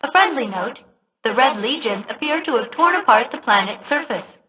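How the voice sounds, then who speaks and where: A woman's voice: high-pitched, lively intonation, moderate pace, background noise. A woman playing a cheerfully smug, literal-minded ship computer AI in a video game, speaking with precision. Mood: chipper, precise.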